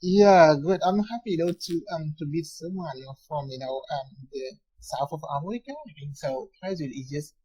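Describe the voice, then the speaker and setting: Rambling man, husky voice. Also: on the high side, normal speed, few pauses, warm tone, rough recording. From France. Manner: rambling, repeats "you know" constantly. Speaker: conversation partner. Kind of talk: casual conversation.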